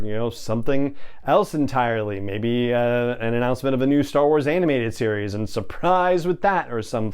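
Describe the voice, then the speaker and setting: Clear-voiced man hosting a chatty podcast. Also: medium pitch. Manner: conversational, chatty asides. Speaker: podcast host. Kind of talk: solo fan news commentary.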